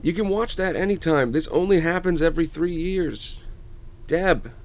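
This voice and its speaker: A man's voice: a little high, normal speed, pitched above the performer's own voice, close to an everyday voice. A man playing an eager father talking to his daughter in a table read of a screenplay. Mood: urging. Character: excited dad calling out to his daughter.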